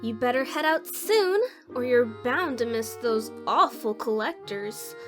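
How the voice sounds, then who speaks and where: A woman's voice: fairly high pitch, expressive, unhurried, over music. A woman playing a haughty, teasing sister in an audio drama, smug and mock-formal.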